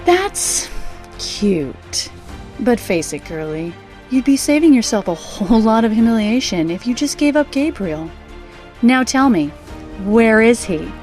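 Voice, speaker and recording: A woman's voice: a little high, big pitch swings, a little slow, darkish tone, soft background music. A woman playing a cocky, condescending female villain fighter in a superhero audio drama. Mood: mocking, threatening. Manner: mocking, condescending.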